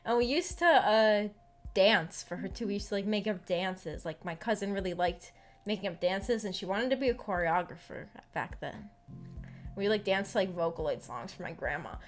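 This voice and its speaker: A young adult woman livestreaming in a casual, halting, rambling chat with her viewers over background music. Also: medium pitch, lively intonation. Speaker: streamer hosting a visual novel book club.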